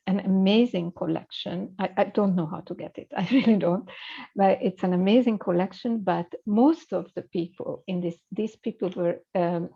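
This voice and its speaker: A woman with a low, gravelly voice, talking in a hesitant, thoughtful way with many pauses and restarts. The sound is muffled and lo-fi. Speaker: scholar guest. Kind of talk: conversational interview.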